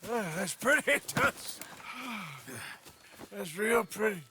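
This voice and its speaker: A man's voice: sits high, expressive, slow, heavily acted. An actor playing a weary, sardonic outlaw gunman in a Western video game. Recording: clear background noise.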